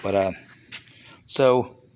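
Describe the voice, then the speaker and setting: A man's voice: fairly low pitch, drawn-out words, darkish tone, clear, resonant tone. A man filming his toy finds on a phone and chatting about them casually, rambling with mild grumbling asides. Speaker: toy reseller showing flea market finds. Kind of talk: casual off-camera show-and-tell vlog. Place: indoors at a table of toys. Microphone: handheld phone camera.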